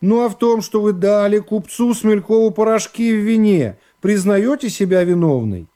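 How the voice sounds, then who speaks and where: A man voicing a presiding judge in a radio play, questioning the accused in a formal, measured, procedural way. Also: high-pitched, expressive, warm tone. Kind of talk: radio play character acting.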